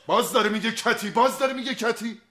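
Jumpy middle-aged shrink, kinda high voice, snaps indignant then backs down. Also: male voice, expressive, fairly bright tone. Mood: flustered. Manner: indignant, flustered outbursts. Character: excitable, defensive male psychologist, quick to temper. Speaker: psychologist. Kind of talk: sitcom acting.

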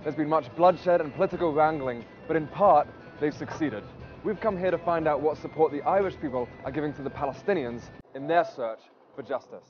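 A man's voice: a little high, brisk, dull audio, faint music behind. A man presenting and interviewing on location as a documentary reporter. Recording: clear outdoor city and traffic noise.

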